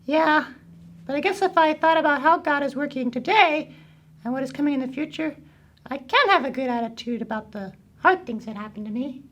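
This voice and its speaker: Woman playing a young boy puppet, very high voice, childlike, hesitant, children's puppet show dialogue. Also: lively intonation, a little fast, fairly bright tone, muffled recording. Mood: curious, earnest. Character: glum, confused young boy puppet named Bobby.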